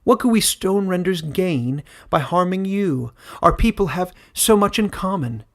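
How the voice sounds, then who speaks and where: A man's voice: high voice, animated, unhurried, fairly bright tone, pitched above the performer's own voice, heavily acted. A man voicing a proud, scheming warrior queen in a fantasy audiobook, with a declamatory, persuasive delivery. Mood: reassuring, persuasive.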